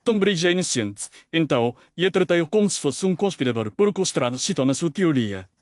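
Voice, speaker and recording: A man acts as a stubborn, humbled country landowner in an audio mystery. His voice sits a bit high, earnest and formal, recorded dry. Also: animated, fairly quick, no echo, close to an everyday voice. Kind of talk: dramatised audio mystery story.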